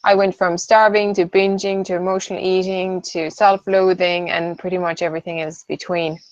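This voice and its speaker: A woman in her late thirties from southern Sweden talks through a webinar in a loose, hesitant way, filling gaps with ums and "I suppose". Her voice sits at a middle pitch. The audio is dull and lo-fi, and very dry. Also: level tone, normal speed, a little mellow, no echo. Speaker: webinar co-host, nutritional therapist. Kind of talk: casual webinar presentation.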